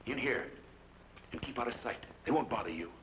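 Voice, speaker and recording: A man playing a tough, steady ranger hero who speaks tersely and firmly, in an old radio drama. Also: medium pitch, fairly quick, close to an everyday voice. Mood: tense. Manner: terse and firm. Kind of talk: 1950s radio drama acting. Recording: muffled old radio broadcast with hiss.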